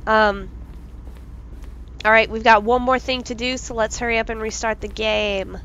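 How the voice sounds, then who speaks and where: A woman with a stuffy nose, commenting casually and excitably on a video game as its let's play host. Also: fairly high pitch, moderate pace, dull audio, a little hiss or hum. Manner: excitable, bursts into yelps and laughs. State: stuffy from a cold.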